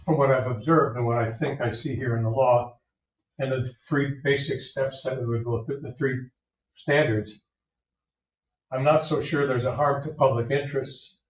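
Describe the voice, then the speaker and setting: Man, board chair, measured conversational talk, roomy echo, muffled distant mic. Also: mid-range voice, steady tone. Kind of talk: public meeting discussion. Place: village hall meeting room.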